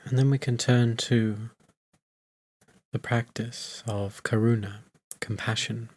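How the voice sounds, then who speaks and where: A man leading a guided meditation as a teacher, in soothing phrases with long, unhurried pauses. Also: mid-range voice, steady tone, a little mellow.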